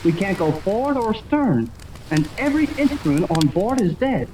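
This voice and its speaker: A woman playing an eager, excitable boy sidekick in a 1930s radio adventure serial, with earnest exclamations. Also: mid-range voice, moderate pace, lightly acted. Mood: eager, insistent. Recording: noisy old 1930s radio recording.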